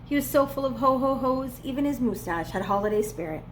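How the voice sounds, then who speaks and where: A woman reading a children's storybook aloud as a preschool teacher would, with expressive, playful emphasis. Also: sits high, a little fast, slight background noise, low-quality recording. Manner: dramatic, playful emphasis on repeated words.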